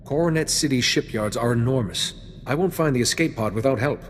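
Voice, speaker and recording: An actor playing a calm, noble, reassuring Jedi master in a video game. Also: male voice, mid-range voice, fairly quick, long reverb tail, slight background noise, lightly acted. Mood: composed. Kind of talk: video game cutscene acting.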